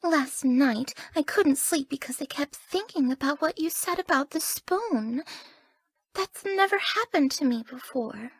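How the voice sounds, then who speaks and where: A dreamy, easily confused young woman from a video game. Her voice is high and soft, drifting slowly along with wide-eyed wonder and airy, gentle phrasing. The melody swings widely. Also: animated, no room sound. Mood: happy, affectionate. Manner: soft, airy, gentle, often dropping to breathy near-whispers. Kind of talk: video game character voice lines.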